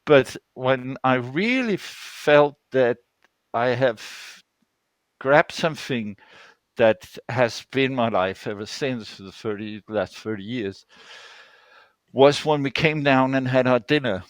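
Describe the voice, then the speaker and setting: An older man with a Danish accent speaks in a conversational storytelling way, feeling his way toward the right words. His pitch sits in an ordinary middle range, and the sound is dry and close, recorded on an online call. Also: takes it slowly, no room sound. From Denmark. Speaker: guest storyteller.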